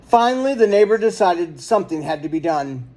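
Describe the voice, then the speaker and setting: A man reading a children's story aloud in an expressive, dramatic storytelling manner. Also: high voice, a little fast. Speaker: storybook reader.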